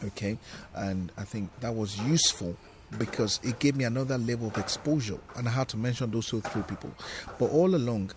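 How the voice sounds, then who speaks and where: A man with a Nigerian accent and Yoruba as his mother tongue, a comedian telling stories in an animated, emphatic way during a podcast interview. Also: medium pitch, brisk, background noise. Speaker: guest comedian.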